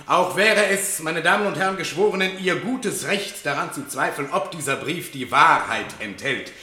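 Theatrical, sharp-voiced defence lawyer. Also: male voice, high-pitched. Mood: impassioned pleading. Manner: rhetorical, emphatic in court, dry and measured in private. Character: eloquent, theatrical male defence lawyer, shrewd and courteous. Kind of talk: German radio play acting.

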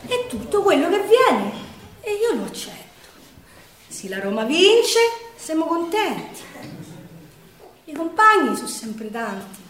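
An actress playing a weary, earthy working-class Roman woman, telling her story in a colloquial theatrical monologue with a Roman accent. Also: high voice. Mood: resigned. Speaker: stage actress. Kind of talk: theatrical dialect monologue in verse. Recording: roomy, distant stage recording.

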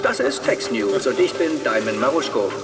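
An actor playing a punchy, formal news presenter in a science-fiction radio drama. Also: male voice, mid-range voice, animated, normal speed, recorded from a distance, close to an everyday voice. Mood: brisk, official. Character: slick male news-bulletin presenter. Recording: loud music under the voice.

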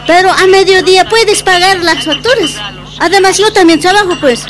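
High-pitched, exasperated Bolivian mother. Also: normal speed. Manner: pleading, complaining, argumentative. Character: harried, exasperated working mother and market vendor. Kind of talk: radio drama acting. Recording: music behind the voice.

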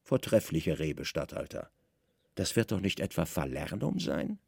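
A man performs a Roman spymaster for radio drama, good-natured on the surface and cunning beneath. He answers with careful, soft-spoken deference, in a creaky voice with a dry studio sound. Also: deep voice, sing-song, a little slow, no room sound, pitched below the performer's own voice, heavily acted. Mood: appreciative.

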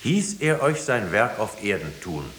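A stern, commanding judge with a low voice that swings widely as he presses his case. He is solemn and insistent, in an echoey, faintly hissy old radio play. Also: sing-song, a little slow, a bit bright. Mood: solemn, probing. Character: stern, pressing male judge extracting a confession. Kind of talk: 1950s German radio drama acting.

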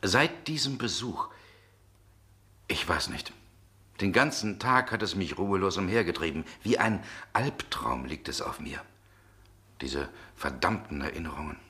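Brooding middle-aged gentleman, very low but sharp forceful voice, old radio play style. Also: animated, unhurried, heavily acted. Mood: troubled. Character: brooding, world-weary Russian gentleman, middle-aged former lover. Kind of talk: 1960s German radio drama acting.

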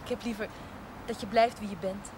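An actress playing a sincere, hesitant young woman in love in a television drama, simple and gently questioning. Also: mid-range voice, fairly even intonation, a little fast, background noise, natural voice. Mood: hesitant, serious. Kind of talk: 1970s Dutch television drama acting.

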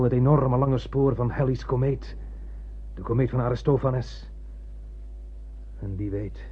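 Old blind starship captain: very raspy, grandiose and brooding, poetic radio drama delivery, muffled recording. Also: male voice, lowish voice, full character voice. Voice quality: husky, raspy. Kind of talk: radio play acting.

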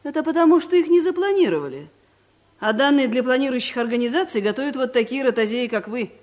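A woman's voice: high voice, animated, quick pace, a little mellow. A bossy, categorical woman director in a vintage studio radio comedy. She speaks in curt, lecturing sentences and leaves no room for reply. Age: middle-aged. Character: domineering middle-aged woman office director. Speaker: office director.

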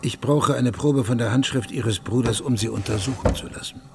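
An actor playing a businesslike, probing police sergeant with a gravelly voice in a radio drama. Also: male voice, mid-range voice, brisk, natural voice. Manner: terse, businesslike questioning. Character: matter-of-fact, probing male police sergeant. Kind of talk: German radio drama acting.

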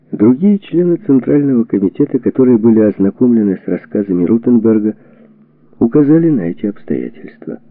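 A man reading a historical book as a scripted audiobook narration, in a measured, deliberate way with weighted pauses, on a narrow lo-fi recording. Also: mid-range voice, no echo. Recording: narrow phone-like band, lo-fi audio.

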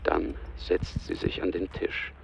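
A man with a gravelly voice narrating a radio drama in a measured, detached way, scene by scene. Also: slight background noise.

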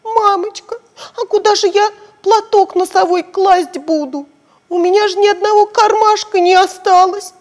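A woman voicing frightened little girls in a children's story, pleading in high, tearful voices. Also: big pitch swings, moderate pace, hardly any pauses, darkish tone, big-room sound.

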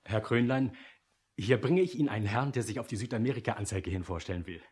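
A brisk male office employee played by a male actor in a radio play. His voice is mid-pitched, and he chats in a matter-of-fact way with dry irony. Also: a little mellow, breathy edge, close to an everyday voice. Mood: dryly ironic. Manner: matter-of-fact, dryly ironic. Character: brisk, chatty, sardonic male company employee. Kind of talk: German radio drama dialogue.

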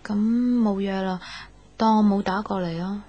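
A woman's voice: mid-range voice, steady tone, measured pace, mellow, dry recording, muffled recording, close to an everyday voice. An actress playing a sensitive, insecure young girlfriend in a Cantonese radio drama. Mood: tentative, hurt. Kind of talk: Cantonese radio drama acting with inner monologue.

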